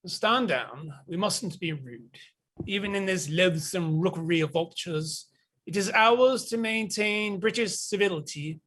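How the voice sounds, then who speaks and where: A man's voice: sits high, unhurried, fairly bright tone. A man playing a coldly courteous, menacing military captain in a stage play reading, pompous and mock-polite. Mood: cold, commanding.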